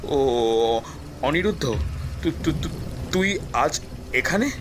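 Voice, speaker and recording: A man playing an anxious young village son in a horror cartoon, speaking fearfully and haltingly. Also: on the high side, animated, voice pushed up. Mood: terrified. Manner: stammering, fearful. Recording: clear background rain noise.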